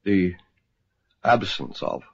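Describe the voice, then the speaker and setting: An actor playing a reassuring, sceptical gentleman, polite and understated, in a radio drama. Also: sits low, very animated, slow, creaky, slightly rough, exaggerated voice. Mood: polite, curious. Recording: dull lo-fi old radio recording.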